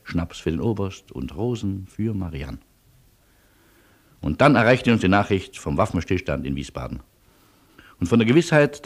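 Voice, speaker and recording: Careful wartime refugee telling his story in a radio drama, deep husky voice, wry. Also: darkish tone. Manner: measured, wry, literary. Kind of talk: radio play narration and dialogue.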